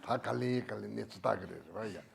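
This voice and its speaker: A man giving an unhurried Buddhist Dharma teaching in Tibetan, laughing now and then between points. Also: medium pitch, gravelly, heavy background noise.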